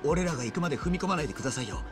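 An actor playing an earnest young yakuza underling in a video game, respectful yet wry, with rough clipped deference. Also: male voice, fairly high pitch, animated, racing, music in the background, natural voice. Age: young adult. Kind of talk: video game cutscene acting.

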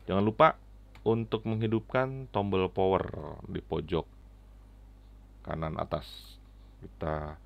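A middle-aged man giving a casual, halting, step-by-step app walkthrough into a headset mic. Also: deep voice, fairly even intonation, gravelly, dry recording. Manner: halting, step-by-step explaining with frequent "ya" tags. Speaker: app reviewer and tutorial presenter. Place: indoors at a computer, keyboard clicks. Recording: slightly dull, lo-fi audio.

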